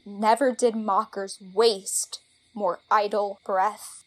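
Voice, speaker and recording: A woman's voice: mid-range voice, little pitch movement, slow, rough recording. An actress playing a spurned, indignant young woman in a Shakespearean audio drama, declaiming verse theatrically. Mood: indignant, scornful.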